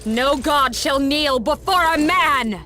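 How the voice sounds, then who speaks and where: A woman's voice: sits high, slow, very noisy. A woman playing a proud, righteous warrior goddess in a fantasy game, solemn, formal and commanding. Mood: defiant, wrathful. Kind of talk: dramatic fantasy game acting. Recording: voice over music.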